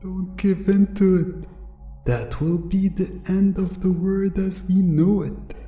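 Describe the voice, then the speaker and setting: A man's voice: high-pitched, slow, warm tone, reverberant, faint music behind, pitched above the performer's own voice. A man voicing a panicked wizard who pleads urgently against evil in an audio roleplay. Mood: alarmed pleading.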